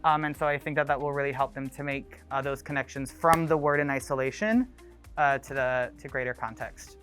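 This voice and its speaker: A man with a smooth, resonant voice explains things in a loose, unhurried way, dropping plenty of ums and uhs as he goes. Faint music plays behind him. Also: mid-range voice. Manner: relaxed, with frequent ums and uhs. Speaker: grade one teacher. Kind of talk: documentary interview.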